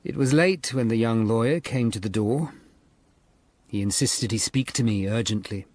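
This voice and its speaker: An English man in his forties playing a thoughtful, melancholy Tudor lawyer who narrates a radio drama in a measured, literate way. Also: medium pitch, darkish tone, no echo, close to an everyday voice. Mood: melancholy, reflective. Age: 40s. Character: melancholy middle-aged hunchbacked Tudor lawyer, humane and thoughtful. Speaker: narrator and lead actor.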